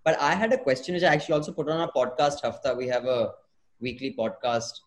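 A man hosting a casual discussion, politely and haltingly steering the conversation. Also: medium pitch. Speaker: podcast host, moderator.

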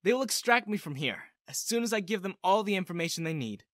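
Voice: medium pitch, lively intonation, fast, no echo, clean studio sound, pitched above the performer's own voice, close to an everyday voice. A narrator voicing an earnest, determined woman spy with an urgent manner, in storybook dialogue. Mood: businesslike, urgent. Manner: pleading, urgent, resolute. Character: earnest, determined female Russian spy seeking shelter. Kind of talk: audiobook-style character dialogue.

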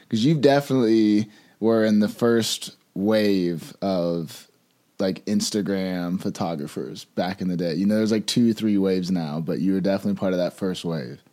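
Slangy podcast host man, low-ish voice. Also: mellow. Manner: friendly slangy, calls guest bro. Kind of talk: casual interview chat.

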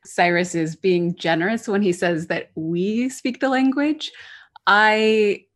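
A woman speaking as a guest on a podcast, retelling events in a lively, wry way with a mid-range voice. Her delivery is conversational and easygoing. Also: mid-range voice, slow. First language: English. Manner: lively, wry, laughs while telling. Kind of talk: storytelling in an interview.

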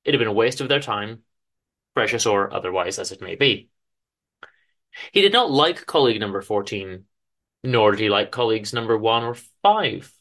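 A man giving a dramatic reading of a short story, in a dry, measured, formal manner. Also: lowish voice, sing-song, fairly bright tone. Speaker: author-narrator reading his own story on a podcast.

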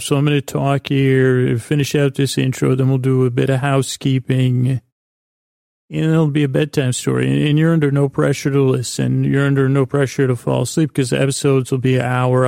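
Male bedtime podcast host: creaky, mellow delivery, halting and rambling, soothing. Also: medium pitch, little pitch movement, no room sound, studio quality. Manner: meandering, halting, stumbling over words. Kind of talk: rambling bedtime-story sleep podcast monologue.

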